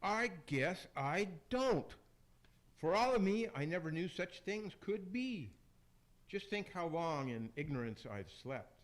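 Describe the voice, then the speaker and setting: Vain theatrical tyrant's ghost from hell, played by a man, declaiming radio-play rhymes with big pitch swings. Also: big pitch swings, measured pace. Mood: chastened, wistful. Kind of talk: amateur radio play acting.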